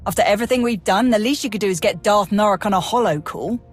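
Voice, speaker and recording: Woman as a wry, mid-pitched military major. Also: fast, close to an everyday voice. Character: capable female Imperial major, wry and plain-spoken. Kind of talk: video game cutscene acting. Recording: music behind the voice.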